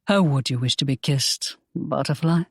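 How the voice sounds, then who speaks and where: A woman voicing a protective young male romance hero in an audiobook, speaking calmly and firmly in a lowered voice. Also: slightly rough, no room sound, clean studio sound, exaggerated voice. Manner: calm, firm, low and measured.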